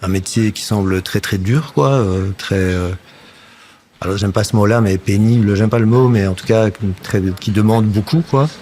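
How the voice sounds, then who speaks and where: A man's voice: on the low side, moderate pace, mellow, slight background noise. A Belgian man in his fifties with a slight Flemish-tinged French accent, speaking as an interview guest. He talks reflectively, hesitating often and restarting sentences. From Belgium, near Brussels. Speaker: guest, actor and puppeteer. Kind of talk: casual interview talk.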